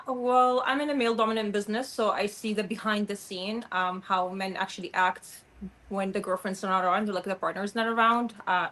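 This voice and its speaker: A woman's voice: medium pitch, pushed and bright, low-quality recording. A woman giving frank opinions as a panel guest over a video call, using many fillers. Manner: frank, filler-heavy, lots of "like". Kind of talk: casual opinionated chat.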